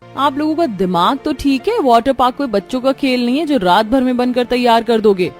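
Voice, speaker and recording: A woman's voice: fairly high pitch, animated, normal speed, a little mellow, faint music behind, voice pushed up, natural voice. A woman voicing a lazy, greedy young daughter-in-law, self-serving and complaining, in a family story. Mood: scornful.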